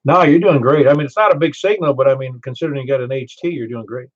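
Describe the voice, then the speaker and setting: An older man with a Southern American accent running a ham radio net, chatty and quick to laugh as he tells stories. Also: medium pitch. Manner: chatty, storytelling, laughs easily. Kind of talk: casual radio chat. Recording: muffled ham radio audio.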